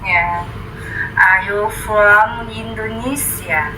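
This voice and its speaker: A woman from China with a Chinese accent, chatting casually in halting learner English. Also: mid-range voice, bright, forceful tone, rough recording. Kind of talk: casual chat. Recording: faint noise on video call.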